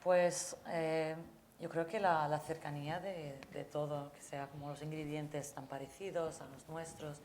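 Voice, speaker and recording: A woman's voice: deep voice, steady tone, a little slow, a little mellow. A woman chef from Abu Dhabi of Palestinian-Syrian descent, talking in a casual panel, hesitant and full of fillers. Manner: hesitant, many fillers and restarts. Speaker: chef, restaurant owner. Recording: room with clear background noise.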